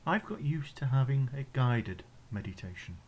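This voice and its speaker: A man with an English accent leading a guided meditation in a gentle, soothing, instructional way, leaving pauses between phrases. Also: medium pitch, expressive, a little hiss or hum. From England.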